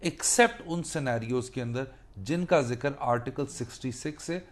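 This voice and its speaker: A man's voice: mid-range voice, moderate pace. A man with a Pakistani accent giving an explanatory legal lecture as a mentor, emphatic, repeating key phrases and mixing Urdu with English. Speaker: law teacher and mentor.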